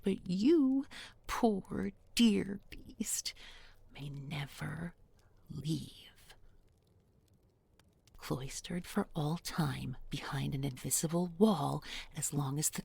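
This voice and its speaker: Woman playing a breathy, sly fairy-tale captor. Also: low-pitched, darkish tone, exaggerated voice. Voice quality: breathy, often dropping to a whisper. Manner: deliberate, coaxing, mock-tender with pointed irony. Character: bookish village beauty turned sly, menacing captor. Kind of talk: intimate audio roleplay spoken to one listener.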